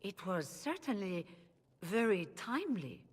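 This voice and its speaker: An actress playing a weary, proud leader of rebel mages in a video game, speaking formally and gravely. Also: female voice, mid-range voice, big pitch swings, a little slow. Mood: troubled. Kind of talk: video game cutscene acting. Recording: long hall reverb.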